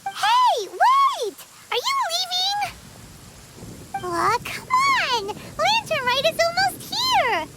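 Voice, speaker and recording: A woman voicing a tiny floating game companion, childlike, squeaky, chatty and excitable. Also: very slow, full character voice. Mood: pleading. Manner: exclamatory, chatty, whiny. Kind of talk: game character voice acting. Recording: loud background noise behind voice.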